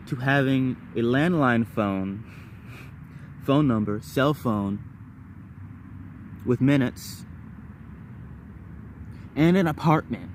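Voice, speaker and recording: Rambling man over clear background noise. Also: mid-range voice. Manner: rambling, repeats words and phrases in bursts. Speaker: vlogger. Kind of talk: casual personal storytelling to viewers.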